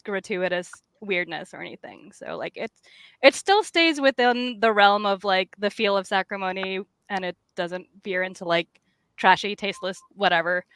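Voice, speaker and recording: A woman comic artist chatting casually about her work, with an animated, self-deprecating, slangy way of talking. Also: mid-range voice, moderate pace, clean tone, no room sound. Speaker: comic artist and writer, guest.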